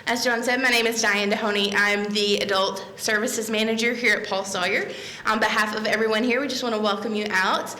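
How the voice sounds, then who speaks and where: A woman's voice: medium pitch, steady tone, brisk, sharp tone. A woman in her thirties, a library manager, welcoming an audience and reading a speaker's introduction at a podium, friendly with some fillers. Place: library meeting room. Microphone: podium microphone. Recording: echoey room.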